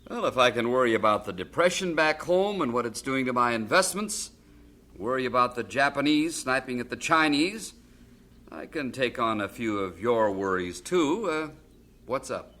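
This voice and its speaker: Audio drama: easygoing rich American man, wry and casual, bright lively delivery, mid-pitched. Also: moderate pace. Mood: easygoing, joking. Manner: joking, casual.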